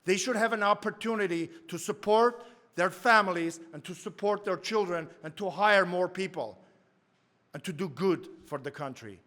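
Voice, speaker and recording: A man's voice: high-pitched, normal speed, fairly bright tone. A man giving an emphatic, rallying address at a community lodge meeting. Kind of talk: formal address.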